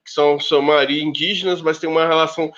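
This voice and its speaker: A man from Rio de Janeiro state talks in a slightly high voice, often breaking off and restarting his thoughts. His audio comes through muffled, as on a video call. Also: steady tone, fairly bright tone. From Rio de Janeiro state, Brazil. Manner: halting, with many restarts and fillers. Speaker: researcher on a panel. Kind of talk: casual academic debate talk.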